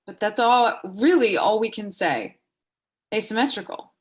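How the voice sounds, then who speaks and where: A woman playing a seriously ill woman under forty in a dramatic stage reading, evasive and halting in speech. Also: mid-range voice, expressive, breathy edge. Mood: halting, pleading.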